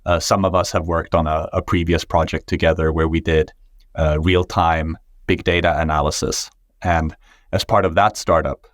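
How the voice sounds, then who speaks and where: A man's voice: low-pitched, dry recording, studio quality. A man from Sweden whose first language is Swedish, a startup founder answering interview questions thoughtfully, with many fillers and restarts. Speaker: guest, tech startup founder.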